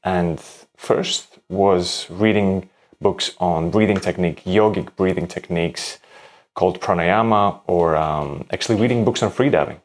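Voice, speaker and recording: A man from Latvia in his thirties, a breathwork teacher answering interview questions in a calm, reflective way with slightly halting phrasing. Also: sits low.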